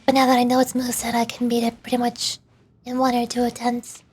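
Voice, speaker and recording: High-pitched woman chatting on a game stream. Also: monotone, fast talker, a little mellow, breathy edge. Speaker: livestreamer, vtuber. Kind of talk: casual gaming livestream chat.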